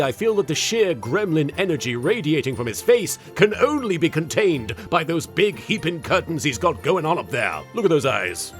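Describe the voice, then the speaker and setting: Smug, theatrical pharaoh spirit with swooping pitch. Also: male voice. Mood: gleeful, boastful. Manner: pompous, mock-serious, dramatic swings. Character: smug, theatrical, gleefully murderous male anime pharaoh spirit. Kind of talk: scripted comedic monologue in character.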